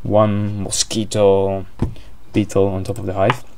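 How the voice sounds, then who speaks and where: A man's voice: lowish voice, monotone, unhurried, noisy background. A man with an Italian accent commentating a board game live, hesitant, with many fillers and restarts.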